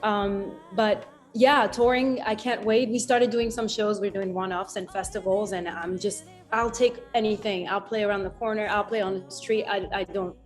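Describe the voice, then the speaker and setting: A woman's voice: medium pitch, fairly even intonation, moderate pace, hardly any pauses, soft background music. A woman, a musician answering interview questions in a reflective way, laughing easily and often restarting her sentences. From France. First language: French. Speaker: musician guest.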